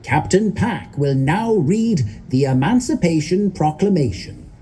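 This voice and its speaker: A man presenting a ceremony with a formal, scripted welcome speech in a measured manner. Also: on the high side, expressive, mellow, a little hiss or hum. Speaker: event presenter.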